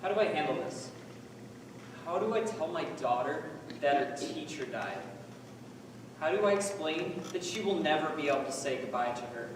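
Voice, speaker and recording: A fairly high male voice from far off in a hall an actor as a grieving teacher. He is earnest and questioning, a troubled father in a stage monologue. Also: fairly bright tone, natural voice. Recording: very echoey hall, distant mic, faint noise.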